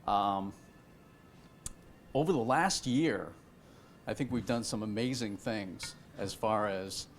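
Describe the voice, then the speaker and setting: A soft-spoken man with a mid-range voice talks informally to a community meeting. Faint room noise sits behind him. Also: fairly low pitch, takes it slowly. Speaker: factory outlet staffer. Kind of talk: public talk at a meeting.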